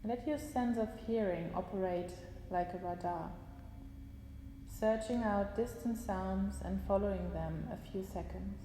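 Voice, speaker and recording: A woman guiding a yoga nidra relaxation session, giving slow, calm instructions with long pauses. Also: mid-range voice. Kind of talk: guided relaxation meditation. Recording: roomy echo with long reverb, faint hiss.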